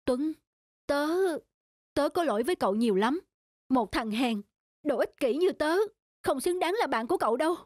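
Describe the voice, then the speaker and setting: A woman's voice: high-pitched, animated, normal speed, pauses often, darkish tone, dry recording, studio quality. A woman voicing young sportsmen of a cycling team in a dubbed cartoon. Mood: remorseful. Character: young male athletes and coach on cycling team.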